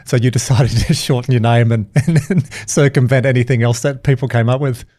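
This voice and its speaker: A man's voice: mid-range voice, fairly quick, warm tone. A man hosting a podcast, interviewing in an enthusiastic, chatty, conversational way. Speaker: podcast host.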